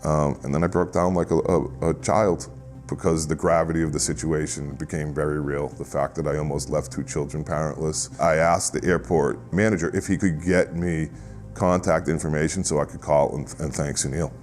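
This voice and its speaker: Very deep-voiced man recalling a flight. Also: steady tone, a little mellow, soft background music. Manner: reflective, halting restarts. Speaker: private pilot. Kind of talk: interview recounting an event.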